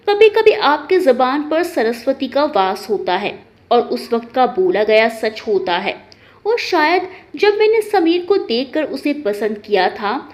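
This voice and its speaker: High-pitched, animated woman storyteller. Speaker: story narrator. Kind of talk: first-person emotional story narration.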